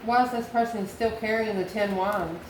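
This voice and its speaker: A woman's voice: mid-range voice, normal speed. A woman giving an intuitive tarot reading to the viewer, musing aloud in short, repeated phrases and questions. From United States. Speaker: tarot reader, channel host. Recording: echoey room with clear background noise.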